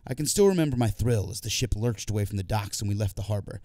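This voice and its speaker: Low, mellow male audiobook narrator. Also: expressive, fast, no room sound, clean studio sound. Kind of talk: scripted first-person literary narration.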